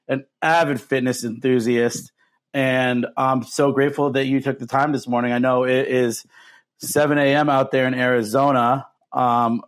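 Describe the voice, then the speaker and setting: Friendly male podcast host. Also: medium pitch. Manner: friendly, hesitant with many fillers. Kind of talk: casual interview.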